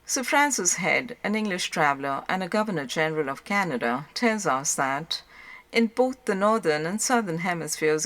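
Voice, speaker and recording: A woman reading a literary audiobook as narrator, in a measured, formal way. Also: low-pitched, a bit bright.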